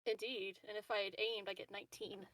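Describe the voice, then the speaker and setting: A woman's voice: mid-range voice, little pitch movement, brisk, fairly bright tone, no room sound. A woman chatting casually and playfully as a player in a tabletop roleplaying game. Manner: playful, joking.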